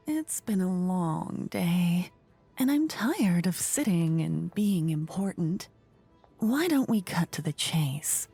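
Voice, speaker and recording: A woman playing a playful, regal fantasy queen in a soft-spoken, breathy ASMR roleplay, languid and coy, often slipping into whispers close to the microphone. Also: on the low side, heavily acted. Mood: intrigued, teasing. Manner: languid, coy, flirtatious, courtly. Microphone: close ear-to-ear microphone.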